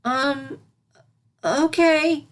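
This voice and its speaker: Lady doing a little girl unicorn for a storybook, high voice, eager n curious, super polite pupil. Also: drawn-out words. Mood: hesitant. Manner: childlike, inquisitive.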